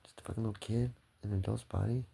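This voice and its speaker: A man's voice: fairly low pitch, steady tone, very fast, gravelly, dark tone, slightly rough. A man talking to the camera in a rambling, swearing monologue that jumps between topics.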